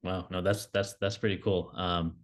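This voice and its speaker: A young man interviewing a guest, talking quickly with hedges and restarts. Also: low voice, flat delivery. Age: young adult. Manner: quick, hedging, many fillers and restarts. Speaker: interviewer, oncology fellow. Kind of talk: recorded video interview.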